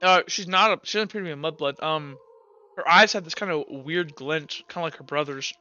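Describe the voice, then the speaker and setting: A man running a tabletop game, narrating loosely with plenty of fillers. His voice is bright and crisp, a little high, on a dry, somewhat dull recording. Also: brisk. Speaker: game master.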